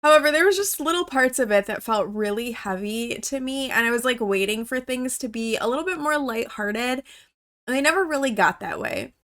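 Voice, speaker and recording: A woman's voice: on the high side, animated, a little fast, a bit bright. A woman YouTuber, still congested from a cold, chatting casually as she reacts to a game trailer. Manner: chatty, with many "like" fillers.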